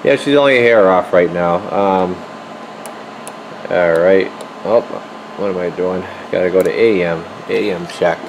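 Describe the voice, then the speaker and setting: Unhurried low-voiced man over noisy hum. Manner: informal, muttering to himself as he works. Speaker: radio repair technician, video host. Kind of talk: casual hands-on workbench demonstration. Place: indoor radio workshop at an electronics bench. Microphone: handheld camera, behind the lens. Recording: noisy room with steady equipment hum.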